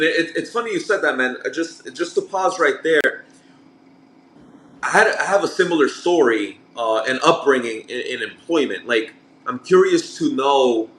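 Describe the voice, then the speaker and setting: A man's voice: medium pitch, fairly bright tone, a little hiss or hum. A male podcast host with a mid-range voice asks questions in a friendly, casual manner. The room echoes, with faint ambience behind him.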